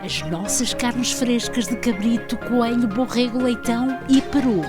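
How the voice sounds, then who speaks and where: Higher-voiced woman reading an ad. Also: unhurried. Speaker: ad announcer. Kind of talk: scripted commercial voiceover. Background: music.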